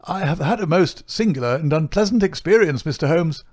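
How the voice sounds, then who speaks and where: A man voicing a pompous, indignant, respectable older gentleman in an audiobook story, formal and huffy. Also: on the high side, lively intonation, a little fast, voice pushed up. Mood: indignant, outraged. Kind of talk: audiobook character acting.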